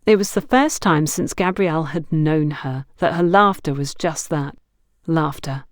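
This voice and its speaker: A woman's voice: sits low, measured pace, dry recording, clean studio sound. A woman reading scripted story narration as an audiobook narrator.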